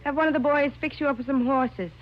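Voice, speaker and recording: An actress as a poised ranch widow, muffled. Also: female voice, sits high, steady tone, fast, close to an everyday voice. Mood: inviting. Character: gracious, flirtatious widowed woman of a ranch. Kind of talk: 1950s radio acting. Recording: muffled vintage radio recording.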